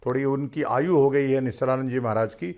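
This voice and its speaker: A male religious panelist on a TV debate, explaining in a measured way. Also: medium pitch, a little mellow.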